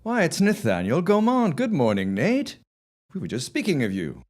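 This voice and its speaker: A man acting a confident, dismissive farmer husband of the early 1900s in an audio drama, with formal, deliberate phrasing. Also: fairly high pitch, wildly swooping pitch, darkish tone. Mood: breezy, confident.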